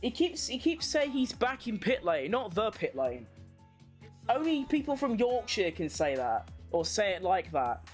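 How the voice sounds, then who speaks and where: A woman with a mid-range voice commentates live on motorsport as a watchalong host. She is informal and slangy, thinking out loud as she follows the action. Faint music plays behind her. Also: medium pitch, big pitch swings, unhurried.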